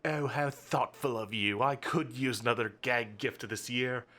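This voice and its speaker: A man's voice: mid-range voice, sharp tone. A man playing a smug, theatrical supervillain boss. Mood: sarcastic, threatening. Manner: theatrical, mocking, drawn-out condescending delivery. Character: smug, menacing male supervillain boss toying with his enemy. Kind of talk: scripted audio roleplay.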